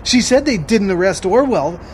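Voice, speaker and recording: A man's voice: high-pitched, animated, normal speed, noisy background. A man playing Monty, a paranoid, anxious young man who also narrates the story. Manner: jittery, anxious. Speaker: narrator and lead character. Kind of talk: amateur audio drama.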